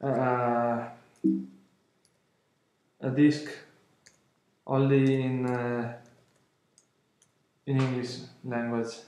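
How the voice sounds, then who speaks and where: A man in his twenties from Italy, speaking English with an Italian accent, unboxing an album at home, halting with many fillers and apologies. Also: mid-range voice, completely flat delivery, a little mellow, echoey room, low-quality recording. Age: 20s. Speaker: vlogger. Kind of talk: casual unboxing vlog. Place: room at home, indoors. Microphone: webcam at arm's length.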